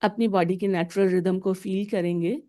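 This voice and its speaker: A woman guiding a meditation with calm, deliberate instructions in short, separated phrases. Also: mid-range voice. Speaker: guided meditation teacher.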